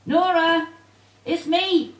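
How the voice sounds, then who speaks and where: A woman acts out a chirpy, bustling Cork village woman in a radio play. The voice is raised high and loud, with a roomy echo around it. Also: steady tone, very slow, far from the mic, soft background music, heavily acted. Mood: bright, bustling. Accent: Irish, County Cork. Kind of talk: radio drama acting.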